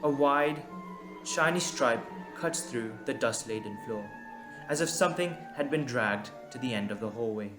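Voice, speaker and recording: A man reading scripted dramatic narration in a deliberate, suspenseful manner. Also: medium pitch, expressive. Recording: echoey, music underneath. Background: music.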